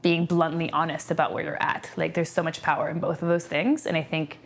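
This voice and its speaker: Low-voiced woman, late twenties, reflective. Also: fairly quick. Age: late 20s. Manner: reflective, animated storytelling with "like". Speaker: interviewee. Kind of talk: documentary interview.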